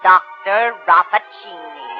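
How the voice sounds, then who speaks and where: A woman's voice: on the low side, slow pace, soft background music. An actress in a 1940s radio drama playing a wary, scornful Italian landlady, speaking with dramatic emphasis. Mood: sly, knowing. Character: wary, brusque Italian landlady in old Padua. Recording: dull, lo-fi 1940s radio recording.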